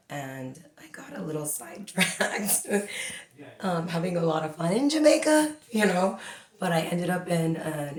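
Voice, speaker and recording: Woman, forties, from the Bahamas, low voice, talkative interview guest, echoey room. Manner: chatty, talks a lot with many fillers. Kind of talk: casual interview.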